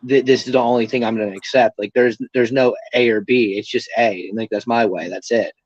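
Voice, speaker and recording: A man's voice: mid-range voice, steady tone, brisk, no echo, lo-fi sound. A young man in recovery, talking candidly and casually as an interview guest, rambling with lots of fillers and swearing freely. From Pennsylvania.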